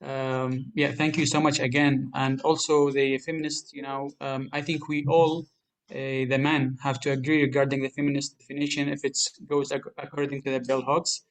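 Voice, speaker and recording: A man's voice: mid-range voice, level tone, moderate pace, rough recording. A man moderating an online webinar, speaking hesitantly with many fillers and polite thanks, over a video call.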